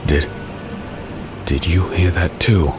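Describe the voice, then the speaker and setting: A man acts as a haunted, protective fantasy swordsman with a low voice. He speaks gravely and earnestly, leaving dramatic pauses, over music in a dark audio drama. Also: steady tone. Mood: urgent.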